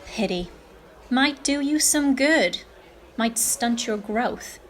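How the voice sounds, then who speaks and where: An amateur actress playing a nosy, teasing drinking companion in a radio play, with a drawling, flippant manner. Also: female voice, on the high side, animated, slow, slight background noise.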